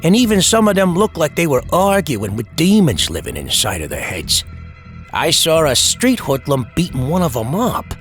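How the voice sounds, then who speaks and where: Man acting a streetwise horror storyteller, dramatic, wry, animated mid pitch, faint music underneath. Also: moderate pace. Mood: brooding, suspenseful. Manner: dramatic, wry asides, shifting emphasis. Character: Butch, streetwise man recounting his frightening boyhood night.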